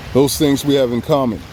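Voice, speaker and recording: A man from Southern California with a Southern Californian accent, filming himself outdoors by the beach and talking casually in a relaxed, rambling way that circles back on itself. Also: medium pitch, slight background noise. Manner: relaxed, rambling, repeats himself, chuckles often. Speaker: vlogger. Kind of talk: casual tribute vlog. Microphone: phone or camera filming himself.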